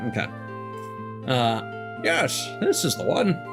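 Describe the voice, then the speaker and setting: A game streamer talking casually with a joking, playful manner. The voice has some fry and moves around a lot in pitch. Game music plays behind it. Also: huge pitch swings, slow pace. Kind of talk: casual gaming commentary.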